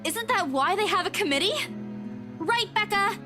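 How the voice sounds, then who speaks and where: A woman's voice: extremely high pitch, expressive, moderate pace, over music. A woman acting an earnest, fiery young woman in a visual novel, speaking emphatically and expressively. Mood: urgent, indignant. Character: earnest, fiery young woman urging a friend not to quit.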